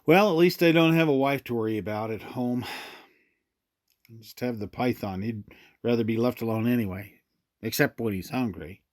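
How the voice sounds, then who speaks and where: A man's voice: medium pitch, moderate pace, dry recording, studio quality, lightly acted. An actor playing an easygoing male park ranger in an audio drama, talking in a conversational, somewhat hesitant way.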